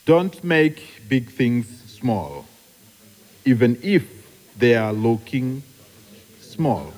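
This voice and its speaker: A man's voice: mid-range voice, sing-song, very slow, a little mellow, heavily acted. A man acting in a radio play as a solemn, grandiloquent speaker ceremoniously addressing travellers. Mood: grand, solemn. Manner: ceremonious, oratorical. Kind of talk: theatrical speech in a radio play. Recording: long hall reverb.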